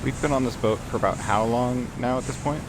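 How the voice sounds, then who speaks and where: A man's voice: medium pitch, fairly quick, background noise. A man playing a tabletop game, casually narrating his character's moves and speaking as him. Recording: music behind the voice.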